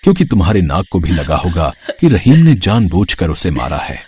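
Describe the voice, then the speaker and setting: A man playing a wise old fakir in an audio folk tale, giving slow, fatherly, solemn counsel. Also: low voice, sing-song, dark tone, soft background music. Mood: solemn, gentle. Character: wise old male fakir, calm mystic holy man.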